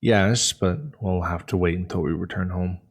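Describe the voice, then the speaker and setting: An actor playing a steady, serious male crewman in a sci-fi horror audio drama. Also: deep voice, normal speed, husky. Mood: explanatory.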